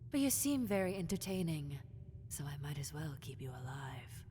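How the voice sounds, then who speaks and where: A woman voicing a possessive, teasing lamia, a half-snake predator, in a roleplay audio drama, with condescending, seductive taunts that slip into breathy whispers. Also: low voice, very animated, normal speed. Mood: predatory, teasing. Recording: long cave-like reverb, faint noise.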